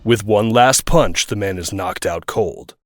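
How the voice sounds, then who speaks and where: A man's voice: on the low side, a little slow, music in the background. A man reading scripted dramatic narration for an audio drama.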